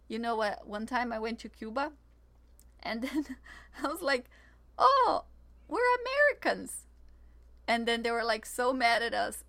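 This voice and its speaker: A woman's voice: creaky, no room sound. A woman from southern Brazil with a heavy Brazilian Portuguese accent, chatting casually and animatedly with her partner. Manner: animated, laughing, acts out quotes in a high voice. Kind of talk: casual chat.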